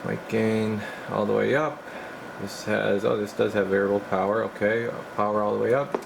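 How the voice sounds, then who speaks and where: Deep gravelly man thinking aloud. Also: level tone, background noise. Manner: halting, muttering, thinking aloud. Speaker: radio repair technician and video host. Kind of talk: casual bench commentary while working. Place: indoor radio repair workbench.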